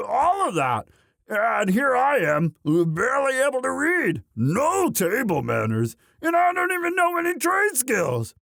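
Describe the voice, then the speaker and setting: A man voicing a bashful, devoted himbo orc boyfriend in a romantic audio roleplay, halting and stammering sheepishly. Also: high voice, creaky, a bit bright, no echo, clean studio sound, full character voice. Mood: bashful, sincere.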